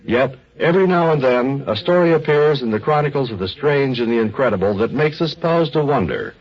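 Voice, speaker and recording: Vintage radio announcer, male, measured and dramatic with sweeping intonation, dull lo-fi recording. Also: medium pitch, expressive. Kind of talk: old-time radio scripted introduction.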